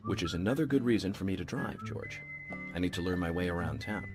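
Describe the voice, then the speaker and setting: A man playing a cocky, eccentric big-city federal agent in a video game, brisk and self-assured. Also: deep voice, lively intonation, over music.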